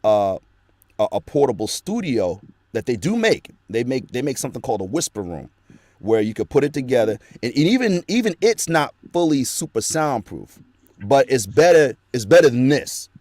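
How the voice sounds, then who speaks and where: A man's voice: medium pitch, sing-song, moderate pace, a little mellow, no room sound. A man who works as a voice actor, talking earnestly and at length as a guest in a casual interview, recorded in a small home studio. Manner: earnest, rambling storytelling.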